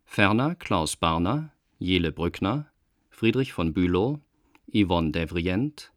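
A man's voice: sits low, animated, takes it slowly, no room sound, clean studio sound. A man working as a radio announcer, reading scripted credits in a formal style. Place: dry broadcast studio.

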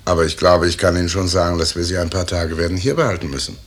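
A man's voice: deep voice, flat delivery, fast talker, lightly acted. A man acting a brusque, patronising senior surgeon, a middle-aged professor, in a 1950s radio drama. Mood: offhand, patronising. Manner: offhand, patronising. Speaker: actor. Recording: noisy old 1950s radio recording.

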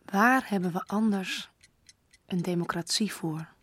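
A woman reads a letter aloud in slow, reflective narration. Her pitch is middling and her pace unhurried. A faint hiss sits under the voice. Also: a bit bright. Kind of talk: scripted letter-reading narration.

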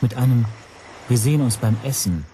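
An actor playing an observant language teacher who narrates his story in a measured, dryly descriptive way for a radio drama. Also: medium pitch, expressive, warm tone, slight background noise, close to an everyday voice.

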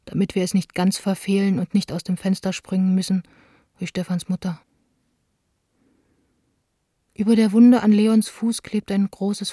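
A young woman , played by an actress, reads her private letters in a radio play. Her voice is soft and mellow, with very little rise and fall. She stays intimate and understated, in a dry, close sound. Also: mid-range voice, no echo, lightly acted. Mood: pensive. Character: Anna, young woman stage director writing intimate letters.

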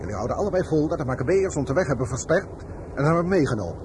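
An actor playing a ruthless, bigoted army major in a radio play, curt and commanding. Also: male voice, a little high, very animated, fast, background noise. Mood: menacing.

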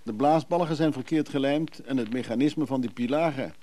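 An actor in a Dutch radio play voicing an evasive, soothing antiques buyer, speaking conversationally and a little hesitantly. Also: male voice, mid-range voice, normal speed, warm tone, close to an everyday voice. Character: Freek, evasive, soothing middle-class antiques buyer and brother.

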